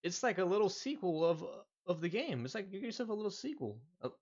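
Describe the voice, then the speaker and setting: A man hosting a gaming reaction video, excitedly commenting over clips and calling everyone "dude". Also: high voice, fast talker, muffled recording. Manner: excitable, talks over the clip, says "dude" often. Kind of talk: casual live reaction commentary.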